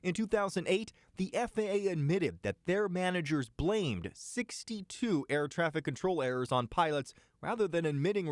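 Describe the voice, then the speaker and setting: Animated higher-pitched American male news narrator. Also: no room sound, clean studio sound. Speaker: investigative TV news reporter. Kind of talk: scripted investigative news narration. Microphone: close studio voice-over microphone.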